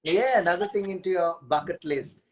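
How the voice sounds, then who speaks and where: A man hosting a casual live video chat, speaking haltingly with many hesitations and joking as he goes. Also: sits high, a loud crowd. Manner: halting, many "uh" fillers, jokey. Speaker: host.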